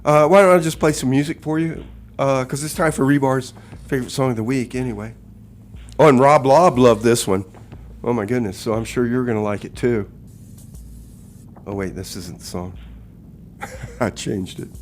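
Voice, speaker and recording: Man, radio show host, mid-pitched voice, folksy exasperated banter, exclamatory delivery. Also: normal speed, long pauses. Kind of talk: live comedy radio banter and music announcing.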